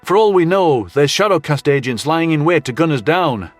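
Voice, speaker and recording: A man playing a gruff, wary human soldier who talks in blunt slang. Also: on the high side, lively intonation, moderate pace, dry recording, natural voice. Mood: exasperated, wary. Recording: music under the voice.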